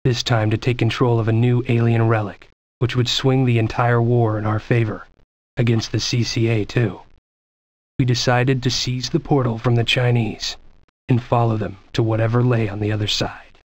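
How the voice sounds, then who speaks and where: A man playing a grave American soldier narrating a war campaign in a solemn, measured, scripted style for a video game. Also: medium pitch, level tone, close to an everyday voice. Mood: resolute. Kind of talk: scripted dramatic cutscene narration.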